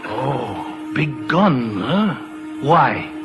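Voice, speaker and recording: A man's voice: medium pitch, sing-song, drawn-out words, full character voice. An actor playing a simple, superstitious Mexican man in broken English, in 1940s radio drama style. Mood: awed, excited. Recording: old radio broadcast, music behind.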